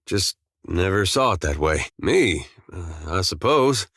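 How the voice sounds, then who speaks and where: A man voicing an easygoing farmer turned adventurer in a video game, with a folksy, drawling, deadpan humour. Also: low voice, measured pace, slightly rough, no echo, clean studio sound, heavily acted. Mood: wry, easygoing. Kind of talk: video game companion dialogue and barks.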